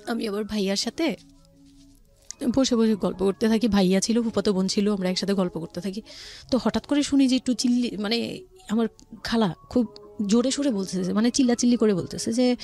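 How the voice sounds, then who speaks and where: A woman's voice: mid-range voice, a little fast, warm tone. Bangladeshi woman storytelling over music. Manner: quotes relatives' words as she narrates. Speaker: guest telling life story. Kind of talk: personal storytelling in conversation.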